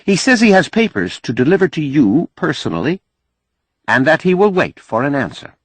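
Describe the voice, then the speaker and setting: A man voicing a brisk, dutiful female secretary in an audiobook. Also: a little high, very animated, dry recording, voice pushed up. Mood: brisk, urgent.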